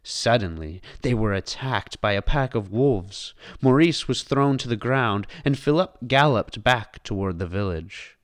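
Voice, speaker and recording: A man reading a children's storybook aloud as scripted, expressive narration. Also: medium pitch, a little slow, hardly any pauses.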